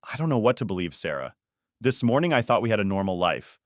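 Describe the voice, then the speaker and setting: A man's voice: lowish voice, very animated, fast talker, no echo, clean studio sound. A man playing a bitter, betrayed husband in an audiobook, icy, sarcastic and accusing. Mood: hurt, doubting.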